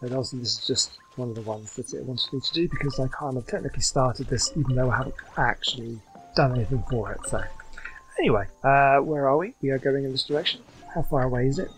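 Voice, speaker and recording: A man casually commentating on a video game as he plays, in a rambling, halting way. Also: mid-range voice, soft background music, poor audio. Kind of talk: casual game commentary, reading dialogue aloud.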